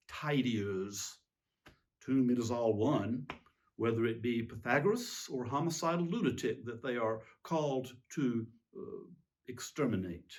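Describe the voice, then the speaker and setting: A man's voice: medium pitch, darkish tone, close to an everyday voice. A man playing a weary, self-pitying tyrant king in a theatrical monologue, fussy, pompous and plaintive. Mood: weary, exasperated. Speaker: reader giving a dramatic reading. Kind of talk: theatrical dramatic monologue reading.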